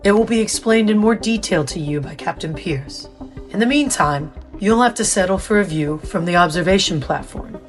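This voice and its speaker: A woman playing a composed, officious official at a secretive installation in an audio drama, formal and measured. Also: medium pitch, animated, soft background music, close to an everyday voice. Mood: composed, businesslike.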